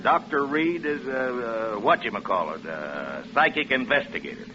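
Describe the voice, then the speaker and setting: Folksy, animated salesman played by a man. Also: mid-range voice, normal speed, close to an everyday voice. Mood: folksy, persuasive. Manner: chatty, colloquial, coaxing. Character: folksy, persuasive, talkative male property salesman. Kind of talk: 1950s radio acting. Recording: muffled, noisy vintage radio recording.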